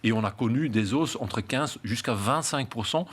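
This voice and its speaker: A man's voice: mid-range voice, moderate pace, slight background noise. A middle-aged man from Flanders with a Flemish Belgian accent, being interviewed about his property business and speaking with many hesitations and restarts. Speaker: real estate company founder. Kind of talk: interview answers.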